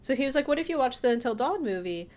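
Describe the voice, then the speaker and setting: A woman's voice: sits high, lively intonation, fast talker, darkish tone. A woman co-hosting a casual podcast, chatty and given to telling things as quoted back-and-forth. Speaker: podcast co-host.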